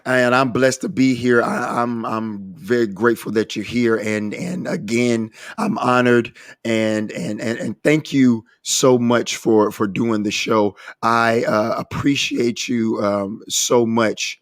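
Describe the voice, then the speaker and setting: A man hosting a recovery podcast, earnest, his voice a little raspy from a cold, often restarting and repeating words. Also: medium pitch, slow pace, no echo, studio quality. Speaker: podcast host. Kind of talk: casual podcast interview.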